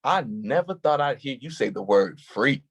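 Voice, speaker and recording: An actor playing a hurt, defensive male police officer in a staged play reading, touchy and quick to snap back. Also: medium pitch, expressive, vocal fry, breathy edge.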